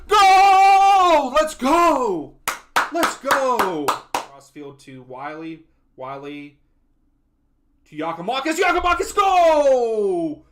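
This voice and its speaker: A man calling soccer action as a fan, cheering and yelling at chances. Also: sits high. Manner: cheers and yells at big chances. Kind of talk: excited fan play-by-play.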